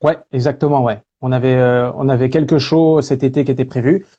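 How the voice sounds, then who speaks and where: Male guest, French, easygoing, warm mellow tone, hesitant fillers. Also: mid-range voice, a little fast, dull audio. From France. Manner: relaxed, lots of hesitation fillers. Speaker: guest, speaker and singer. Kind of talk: casual interview talk.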